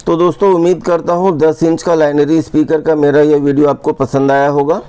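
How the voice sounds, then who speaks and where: Mellow higher-pitched Indian man explaining. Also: smooth, no room sound. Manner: explanatory, repeats instructions. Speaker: repair tutorial host. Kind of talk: step-by-step spoken tutorial in casual register.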